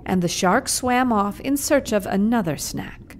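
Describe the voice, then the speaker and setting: A woman narrates a children's puppet show as storyteller. Her mid-pitched voice is measured and expressive, with faint background noise.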